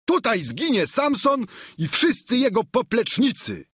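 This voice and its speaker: Guy doing a whiny loud peasant squire from a storybook, high husky voice, haggles and grumbles dramatically. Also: sing-song, measured pace, no echo, studio quality, exaggerated voice. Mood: fierce. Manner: exclamatory, whining, haggling.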